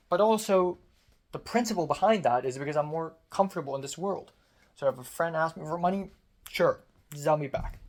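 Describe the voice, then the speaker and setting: A young man from Hong Kong speaking in a casual, late-night diary vlog. His throat is sore and strained, and he rants with sarcasm, swearing and self-mockery. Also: a little high. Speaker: vlogger.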